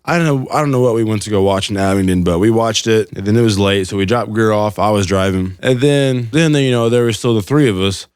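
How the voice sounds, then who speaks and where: A man's voice: on the low side, a little fast, hardly any pauses, a little mellow, clear, resonant tone, no room sound, clean studio sound. A young man telling casual, comical stories in an informal, slangy, meandering way. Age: young adult. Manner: informal, meandering, slangy, quotes dialogue in retellings. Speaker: podcast storyteller.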